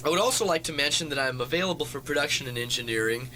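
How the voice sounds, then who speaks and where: A man with a bright, forward voice, talking as a guest musician in a campus radio studio. He rambles through his answers with a joking, wry tone, and a faint hum sits behind him. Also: a little high, expressive, racing. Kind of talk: casual radio interview.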